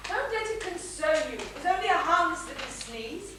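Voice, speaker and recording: A woman's voice: very high-pitched, big pitch swings, moderate pace. A woman playing a worried young clerk's wife in a stage comedy, soothing and anxiously coaxing. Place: small theatre stage, far from mic. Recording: distant, echoey, noisy theatre stage recording.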